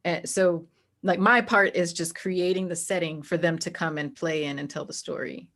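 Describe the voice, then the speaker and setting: Low-pitched woman talking casually. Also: fairly even intonation, normal speed, fairly bright tone. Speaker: interview guest, tabletop game master. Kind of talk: casual, conversational interview talk.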